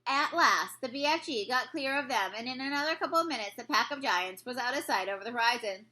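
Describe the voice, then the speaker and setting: Bright, high-pitched woman reading a story. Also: animated, quick pace. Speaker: story reader. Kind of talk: expressive children's book read-aloud narration.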